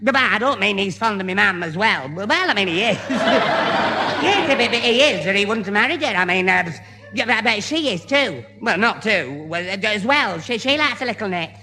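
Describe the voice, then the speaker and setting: A man's voice: high voice, lively intonation, moderate pace. A male actor playing a dim, well-meaning young sidekick with a Northern English accent who stammers and muddles his words, in a radio sitcom. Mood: flustered. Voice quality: stammering, tripping over words. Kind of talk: 1970 British radio sitcom acting. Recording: reverberant hall, noisy old radio recording.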